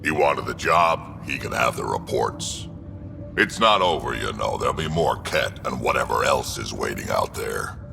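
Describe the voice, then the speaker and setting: An actor playing a gruff old alien warrior, blunt and drily amused, with a gravelly rumble, in a video game. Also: male voice, expressive, normal speed, exaggerated voice. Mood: wry, resolute. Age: older. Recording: long reverb, clear ambient noise.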